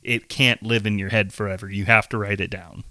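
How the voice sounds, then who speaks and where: A man co-hosting a podcast in casual chat, earnest and reflective, with a voice turning raspy from being sick. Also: lowish voice, fairly even intonation, brisk, pushed and bright, no room sound. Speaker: podcast co-host.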